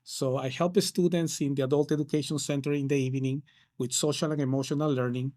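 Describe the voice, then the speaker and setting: Guy, counselor, kinda high voice, lots of uhs and restarts. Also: fairly even intonation, fast, mellow. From Peru. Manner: hesitant, many fillers and restarts. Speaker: case manager counselor. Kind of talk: interview answers.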